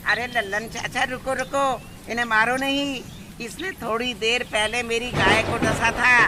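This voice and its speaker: A man's voice: very high voice, steady tone, moderate pace, sharp tone, exaggerated voice. A man playing a brave, kind village boy in a cartoon story, speaking earnestly. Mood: earnest, urging. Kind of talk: cartoon moral story dialogue. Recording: very noisy background.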